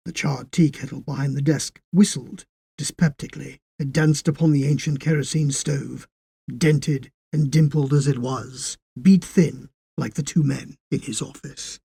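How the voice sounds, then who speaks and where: A man reading a literary story as narrator, in a scripted, measured storytelling style. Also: a little high, gravelly, mellow, slightly rough, no room sound, clean studio sound.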